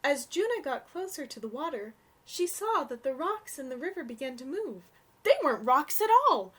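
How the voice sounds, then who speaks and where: Young woman, children's story reader: high, lively intonation, gentle, takes her time. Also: moderate pace. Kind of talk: read-aloud storybook narration for children.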